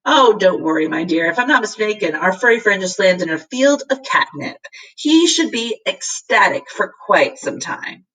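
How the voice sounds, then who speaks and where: A woman's voice: mid-range voice, sing-song, normal speed, fairly bright tone, breathy edge, distant mic, low-quality recording. A woman playing a kindly, knowing male professor in a children's story, explaining and protective. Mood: amused, reassuring.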